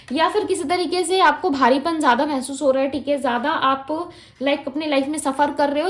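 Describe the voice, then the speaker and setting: A woman giving a tarot reading to her viewers, mixing Hindi with English and speaking in a gentle, reassuring way to the audience, often tagging her sentences with "ठीक है". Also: sits high. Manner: Hindi mixed with English, frequent "ठीक है" fillers. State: throat feels heavy. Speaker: tarot reader.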